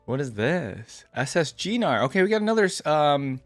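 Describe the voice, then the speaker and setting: A man hosting a gaming reaction video, commenting casually off the cuff and thinking out loud with easy surprise. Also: a little high, very animated, moderate pace, faint music behind. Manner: easily amused, thinks out loud, exclaims with surprise.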